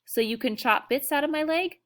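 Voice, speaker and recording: A woman's voice: sits high, fairly quick, voice pushed up, lightly acted. A woman voicing an earnest young boy who speaks cautiously and reasonably.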